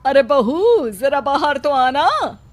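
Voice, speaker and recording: A woman's voice: high-pitched, sing-song, takes it slowly, no room sound, soft background music, heavily acted. A woman voicing an anxious, caring older widowed mother-in-law in a village cartoon story. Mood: concerned. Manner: worried, maternal.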